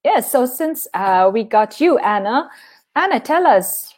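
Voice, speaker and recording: Slow-talking cheerful woman teacher. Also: on the high side, big pitch swings, a little mellow. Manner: cheerful, laughs often, enthusiastic. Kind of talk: live online English lesson.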